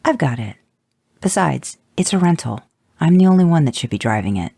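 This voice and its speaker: A man voicing a confident mother behind the wheel of a rental van, sassy and self-assured, pitching up for her. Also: big pitch swings, fairly quick, gravelly, mellow, breathy edge, dry recording, studio quality, full character voice. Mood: insistent.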